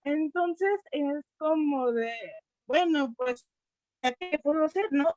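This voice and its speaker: A sixteen-year-old Mexican girl with a Mexican accent, speaking earnestly at a youth colloquium, often pausing to search for words and restarting her sentences. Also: high voice, darkish tone, soft background music, rough recording. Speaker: essay contest participant. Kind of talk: unscripted talk at a youth colloquium.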